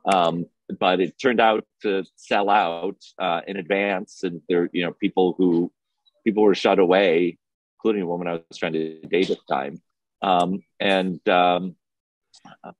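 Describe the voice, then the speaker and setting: A man reminiscing casually in an interview as a film festival programmer, speaking haltingly with frequent restarts. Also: deep voice, steady tone, no echo, poor audio.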